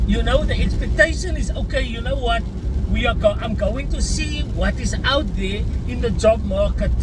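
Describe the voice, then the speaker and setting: A man's voice: very high voice, noisy background. A man with a South African accent, a native Afrikaans speaker, giving an earnest, chatty opinion rant to his viewers. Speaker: vlogger. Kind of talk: casual opinion rant to camera.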